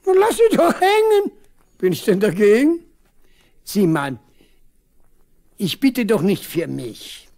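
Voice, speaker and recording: A man's voice: high voice, big pitch swings, slow, long pauses, darkish tone, heavily acted. A proud old country man in a radio play. He badgers and boasts with stubborn insistence, as a veteran who expects respect. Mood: pleading, stubborn.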